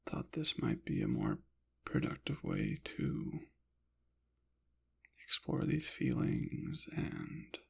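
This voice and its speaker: A man rambling softly in an ASMR style, slipping in and out of a whisper, with a hesitant, halting, apologetic manner. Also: a bass voice, huge pitch swings, gravelly, slightly rough. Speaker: ASMR channel creator. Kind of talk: soft-spoken ASMR ramble, partly whispered.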